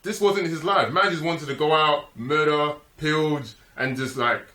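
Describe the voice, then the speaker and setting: A man's voice: fairly high pitch, moderate pace, bright, forceful tone. A young man with a London accent, giving his take in casual, matter-of-fact slang. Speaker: film review podcast host. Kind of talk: casual film chat. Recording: echoey room.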